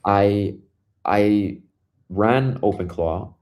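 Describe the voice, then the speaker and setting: Brooklyn-accented man, low register, guest founder, relaxed storyteller who restarts his sentences. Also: drawn-out words. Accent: Brooklyn New York. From Brooklyn, New York, US. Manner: loose storytelling with many fillers and restarts. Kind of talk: casual interview conversation.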